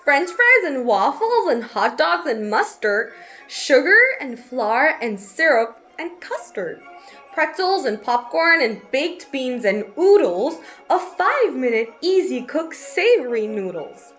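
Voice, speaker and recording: A woman storyteller with a very high, lively voice reads rhyming verse for children. She takes her time, dramatizing and stretching key words, with soft music behind her. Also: slow pace. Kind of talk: expressive read-aloud storytelling of rhyming picture book.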